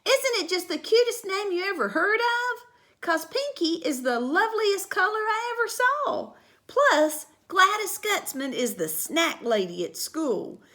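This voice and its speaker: A woman reading a storybook aloud, voicing an excitable, bossy little kindergarten girl who squeals and shouts. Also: extremely high pitch, voice pushed up, exaggerated voice.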